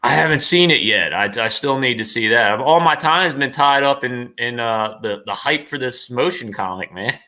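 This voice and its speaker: Bright, forceful man chatting casually. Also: medium pitch. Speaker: guest voice actor and former wrestler. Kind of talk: casual chat on a livestream.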